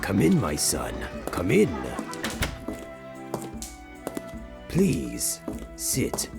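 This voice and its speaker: Venerable kindly rabbi, elderly, low and very animated, oracular delivery for audio drama. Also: male voice, gravelly, music in the background, exaggerated voice. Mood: welcoming. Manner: slow, gentle, oracular. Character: venerable old Hebrew rabbi, wise and kindly.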